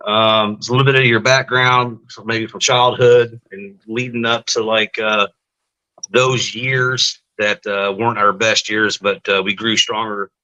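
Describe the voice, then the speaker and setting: A man with a bright, forward voice hosts a live-stream testimony show. He chats with his guest and viewers in a casual, loose way, full of fillers. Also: medium pitch, rough recording. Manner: chatty, many fillers.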